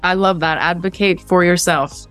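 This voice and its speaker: A woman's voice: fairly low pitch, steady tone, moderate pace, fairly bright tone, soft background music. A woman hosting a conversational podcast interview, affirming and reflective in how she responds. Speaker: podcast host.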